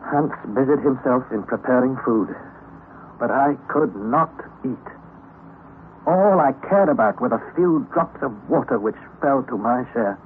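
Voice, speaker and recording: An actor as an earnest young adventurer narrating. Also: male voice, medium pitch, lightly acted. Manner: earnest, dramatic. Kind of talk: 1930s radio drama narration. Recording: old lo-fi radio broadcast, faint hiss.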